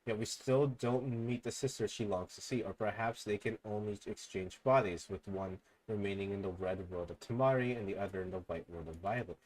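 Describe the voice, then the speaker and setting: A young man streaming, reading fan theories aloud in a low mumble and breaking into loud excited outbursts. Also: brisk, hardly any pauses, slight background noise, low-quality recording.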